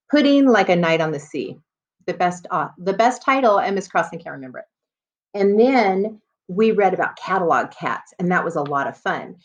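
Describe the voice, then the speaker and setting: A woman's voice: lowish voice, lo-fi sound. A woman elementary school teacher leading a read-aloud lesson for children, explaining and addressing her listeners as she goes.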